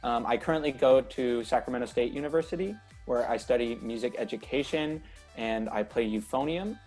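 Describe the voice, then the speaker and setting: A man's voice: mid-range voice, a little fast, darkish tone, faint music behind. A man in his twenties from Northern California, a drum major, answering interview questions over a video call, hesitant and earnest, with many fillers. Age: 20s.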